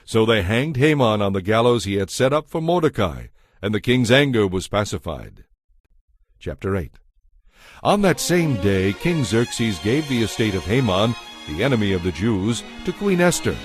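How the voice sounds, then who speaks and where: A man narrating a dramatized audio Bible in a measured, formal storytelling manner. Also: lowish voice, big pitch swings, husky, dry recording, studio quality, soft background music.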